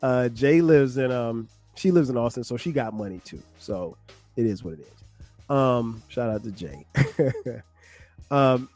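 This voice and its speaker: A man's voice: medium pitch, lively intonation, a little slow, darkish tone, soft background music. A middle-aged man from South Carolina hosting his own podcast in a loose, chatty way, full of ums and casual slang. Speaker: podcast host. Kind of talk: casual solo podcast talk.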